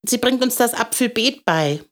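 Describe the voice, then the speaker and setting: A woman's voice: fairly high pitch, lively intonation, normal speed, a bit bright, pitched above the performer's own voice. A woman voicing an earnest, easily downcast little schoolboy in a storybook, with a solemn, childlike way of talking.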